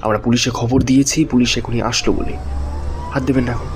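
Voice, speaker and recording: An actor playing a nervous male bystander in an audio drama, polite and excitable. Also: mid-range voice, fast talker, loud music behind the voice, natural voice. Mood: urgent warning. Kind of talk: Bengali audio drama acting.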